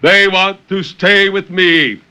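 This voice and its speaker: A male actor as a defiant, hulking sea outcast from a 1950s radio play. His voice is bright and forceful, rather high, with mocking courtesy stretched out theatrically. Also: steady tone, slow pace, smooth, heavily acted. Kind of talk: 1950s radio drama acting. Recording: dull, noisy 1950s radio recording.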